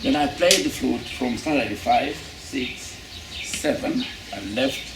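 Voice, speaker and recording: A man with a Kenyan accent, a musician recounting his life story in an unhurried, reminiscing interview. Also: mid-range voice, vocal fry. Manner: unhurried, reminiscing, repeats himself. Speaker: musician and instrument technician. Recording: roomy, distant, loud background noise.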